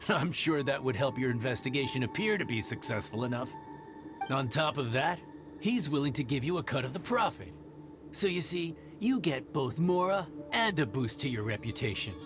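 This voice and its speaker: A man's voice: medium pitch, lively intonation, normal speed, music in the background, close to an everyday voice. A man voicing a smug, sly mercenary. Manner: smooth persuasive talk with mocking laughs. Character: smug male mercenary negotiator, sly and threatening.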